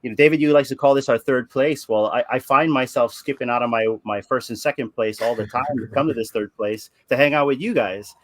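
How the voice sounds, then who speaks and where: A man talking casually on a video call, halting and repeating words as he tells a story. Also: mid-range voice. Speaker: podcast host guest.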